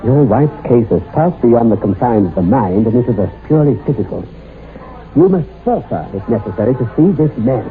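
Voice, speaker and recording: An actor playing a grave, urbane, insistent psychiatrist with a formal, authoritative manner, in a radio horror drama. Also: male voice, medium pitch, expressive, brisk, soft background music, natural voice. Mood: urgent insistence. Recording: tinny, hissy old radio drama recording.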